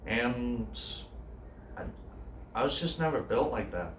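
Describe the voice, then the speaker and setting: A man performs a subdued, grieving cook in a naturalistic dramatic monologue. He speaks quietly and hesitantly, with broken pauses. The room around him is echoey, with faint noise. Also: mid-range voice, expressive, distant mic. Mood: hurt, confessional. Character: grieving male cook, subdued, haunted by his brother. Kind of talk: dramatic monologue, naturalistic screen-style acting.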